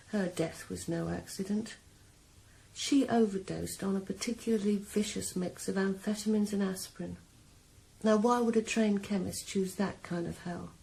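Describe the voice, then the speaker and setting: An actress playing a young woman killer calmly confessing her revenge in a 1980s radio drama. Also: medium pitch, creaky, low-quality recording. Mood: cold calm. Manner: calm, measured, quietly cold. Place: sauna.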